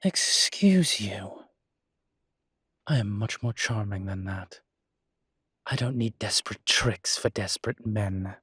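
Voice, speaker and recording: A man playing a suave ancient demon professor in an intimate roleplay audio drama, with a husky, breathy low voice and a dry, teasing, urbane manner. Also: lively intonation, slow, pauses often, a bit bright, no echo, exaggerated voice. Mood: bantering.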